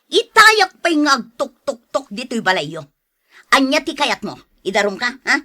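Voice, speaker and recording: A woman's voice: high-pitched, wildly swooping pitch, a little slow, noisy background, full character voice. A woman acting a bossy, merciless moneylender and nagging wife in a radio drama, with a scolding, demanding manner. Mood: angry, threatening.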